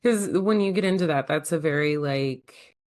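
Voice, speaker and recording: Woman podcast host, deep for a woman, enthusiastic and chatty, filler-heavy casual delivery. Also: normal speed, darkish tone.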